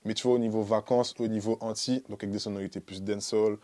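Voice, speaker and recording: A man's voice: fairly low pitch, fairly even intonation, fast talker. A young man from the Antilles, talking casually and in slang as a music artist being interviewed. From French Antilles (born in Guadeloupe, lived in Martinique and French Guiana). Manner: slangy, informal, peppered with "tu vois" and shout-outs. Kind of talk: casual interview talk to camera. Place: small dark recording studio, indoors.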